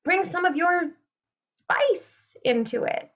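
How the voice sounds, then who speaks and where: A woman's voice: very high voice, lively intonation, takes it slowly, fairly bright tone, muffled recording, full character voice. A woman playing an earnest, self-important playwright in a naturalistic online play. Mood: insistent. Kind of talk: naturalistic virtual stage acting.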